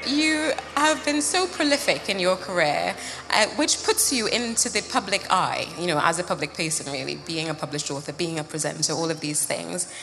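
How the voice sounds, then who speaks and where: A woman's voice: on the low side, expressive, moderate pace, gravelly, over music. A woman interviewing conversationally at a live on-stage event. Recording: reverberant live festival stage sound.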